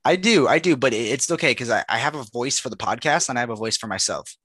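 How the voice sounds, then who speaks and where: A man's voice: mid-range voice, lively intonation, quick pace, fairly bright tone, breathy edge, dry recording. A young man co-hosting a podcast, telling rambling jokey stories in casual chat with lots of fillers. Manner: rambling storyteller, joking, with frequent fillers. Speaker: podcast co-host.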